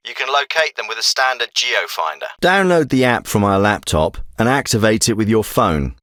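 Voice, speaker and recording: A man's voice: mid-range voice, sharp tone, no room sound, clean studio sound. A man voicing a businesslike military intelligence handler in a video game, giving mission briefings with clipped, purposeful delivery.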